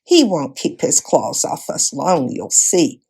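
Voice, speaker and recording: Woman reading as sulky, muttering older men, creaky-voiced. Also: low voice, big pitch swings, takes it slowly, a little mellow, heavily acted. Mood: suspicious grumbling. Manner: muttering, grumbling. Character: sulky, suspicious older men grumbling about talking beasts.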